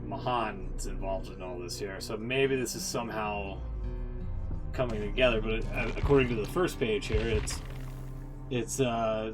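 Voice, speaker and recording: A man hosting with casual, unscripted reaction commentary in a wry, informal way. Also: fairly low pitch. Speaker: host reacting to game stories.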